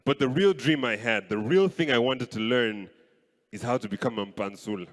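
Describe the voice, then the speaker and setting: A young man from Kenya doing live stand-up comedy on a stage mic, playful and animated. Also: mid-range voice, normal speed. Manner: animated, playful, switches voices. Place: stage in a large hall. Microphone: handheld stage mic. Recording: live hall with long reverb.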